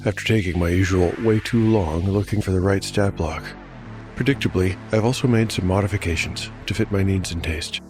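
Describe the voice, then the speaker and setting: Male narrator and solo game master; lowish voice, scripted fantasy storytelling, prominent music bed. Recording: music under voice. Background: clear music.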